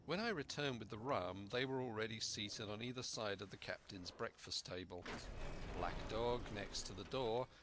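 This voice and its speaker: A man reading a story aloud as an expressive narrator. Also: lowish voice, moderate pace, a little hiss or hum. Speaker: storyteller, audiobook reader.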